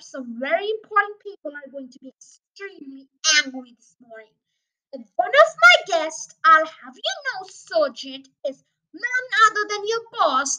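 Child acting out a furious, blustering pompous man, high-pitched. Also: distant mic, exaggerated voice, rough recording. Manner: shouting, indignant, sputtering.

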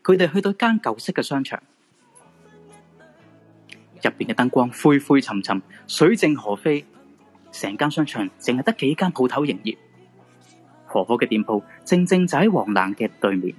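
A man's voice: medium pitch, big pitch swings, normal speed, pauses often, slightly rough. A male radio drama narrator speaks Hong Kong Cantonese in a medium register. He tells the scripted story dramatically over faint music.

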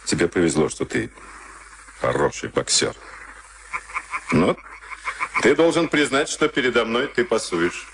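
An actor in a Soviet radio play, playing a sadistic, smug SS camp officer with a taunting, mock-polite manner. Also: male voice, lowish voice, huge pitch swings, unhurried, long pauses, fairly bright tone, full character voice. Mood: menacing, mocking. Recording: noisy archival radio drama recording.

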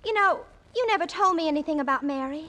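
An actress playing a nosy, excitable young girl, a pert teasing daughter, in 1940s radio drama style. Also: high-pitched, quick pace. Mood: inquisitive. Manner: pert, inquisitive.

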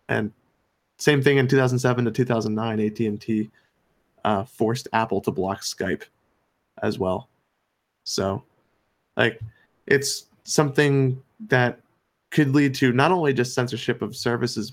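An American man co-hosting a podcast, giving his opinions in an earnest, somewhat halting explanatory way. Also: mid-range voice. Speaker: podcast co-host.

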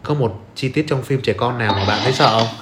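A man's voice: medium pitch, fast. A young man hosting a casual interview podcast, agreeable and checking in with his guest. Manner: agreeable, frequent short acknowledgements. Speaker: podcast host. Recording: roomy echo, faint music behind. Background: faint music.

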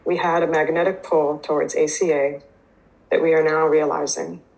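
Woman, native Hebrew speaker, low voice, hesitant reflective share. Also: little pitch movement, moderate pace, gravelly, a little mellow, poor audio. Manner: hesitant, many fillers and restarts. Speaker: twelve-step program member sharing. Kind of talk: reading aloud, then personal recovery share.

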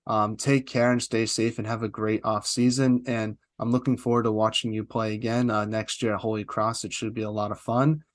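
A man hosts an interview podcast, firing off long, rapid questions sprinkled with "uh." His voice sits a little low. The sound is dry and close. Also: level tone, dry recording. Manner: rapid, talkative questions with "uh" fillers. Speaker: podcast host.

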